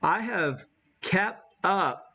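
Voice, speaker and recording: A man's voice: sits high, animated, very slow, gravelly, bright, forceful tone, lo-fi sound. A man hosting a ham radio livestream, chatting casually in a rambling, self-deprecating way as he demonstrates. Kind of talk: casual live-stream chat and demonstration.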